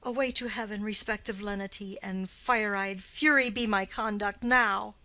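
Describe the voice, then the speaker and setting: A woman's voice: medium pitch, steady tone, moderate pace, sharp tone, pitched below the performer's own voice. A woman playing a passionate young man turned avenger, reading classical drama in an earnest, declamatory way. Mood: vengeful. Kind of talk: classical stage-play reading.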